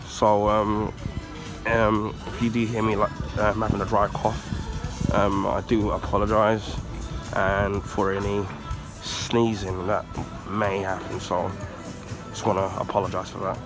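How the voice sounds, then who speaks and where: A man from the UK with a husky, congested voice from the flu, giving a casual rambling rant with many ums and throat clearing. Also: lowish voice, little pitch movement, unhurried, music in the background. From United Kingdom. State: husky, recovering from flu, blocked nose. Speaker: YouTuber.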